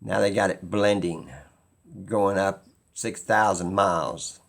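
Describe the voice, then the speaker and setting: A man talking informally and skeptically as he explains something, halting and pausing mid-sentence as he muses. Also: low-pitched, fairly even intonation, vocal fry. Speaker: video host.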